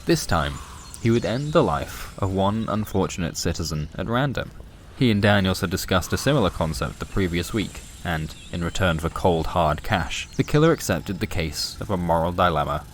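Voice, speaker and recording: A man narrating scripted noir comic fiction in a deadpan, wry manner. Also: sits low, a little hiss or hum. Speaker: narrator and presenter. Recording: music behind the voice. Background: music.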